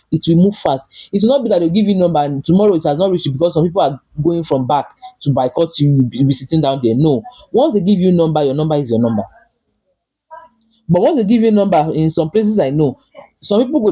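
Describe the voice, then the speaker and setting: A man's voice: on the high side, mellow, breathy edge, low-quality recording. A man from Nigeria with a Nigerian English accent, chatting casually and emphatically in a vlog, often repeating and restarting his phrases. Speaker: vlogger living abroad.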